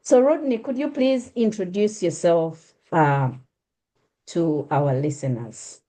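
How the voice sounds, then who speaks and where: A woman's voice: on the low side, slow, dark tone. A Ugandan woman hosting an interview, talking with frequent fillers and restarts as she introduces her guest and asks questions. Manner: hesitant, many uh fillers and restarts. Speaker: host. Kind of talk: conversational interview.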